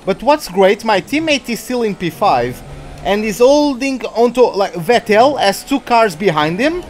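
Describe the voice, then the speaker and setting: Man, Portuguese accent, gaming streamer, rambling live commentary, higher pitched. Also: lively intonation, a little slow, soft background music. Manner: chatty, rambling, easily distracted. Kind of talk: live commentary while playing a racing game.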